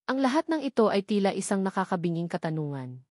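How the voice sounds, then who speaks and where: A woman's voice: medium pitch, very animated, fast, a little mellow, dry recording. Dry-sounding woman narrating a story. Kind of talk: scripted dramatic narration.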